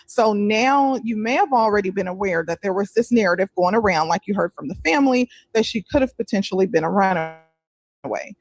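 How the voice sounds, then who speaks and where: A woman narrating true-crime commentary from a script. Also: mid-range voice.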